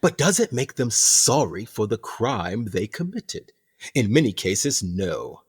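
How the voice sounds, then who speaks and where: A man acts as a calculating prison captain in a radio play, proud of his own scheme. His husky voice swings widely in pitch as he lectures with pompous, theatrical relish and rhetorical questions. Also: sing-song, slow pace, exaggerated voice. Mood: professorial, emphatic. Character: smug, calculating male prison captain, proud mastermind of a colony.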